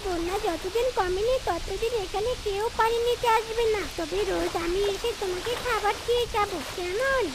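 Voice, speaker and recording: Very high-pitched kind cartoon fox child. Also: normal speed, hardly any pauses, heavy background noise. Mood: concerned, caring. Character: kind young fox child Guddu helping a water fairy. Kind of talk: dubbed children's cartoon dialogue. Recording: loud music and water sounds behind. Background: music, splashing water.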